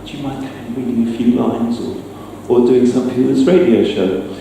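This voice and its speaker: A man's voice: medium pitch, fairly quick, gravelly. A man giving an informal talk to a live gathering, chatty and hesitant, with a self-deprecating streak. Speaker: presenter, sound effects maker. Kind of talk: informal public talk with demonstration. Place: live talk in a reverberant indoor venue. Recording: echoey room, distant mic, faint noise.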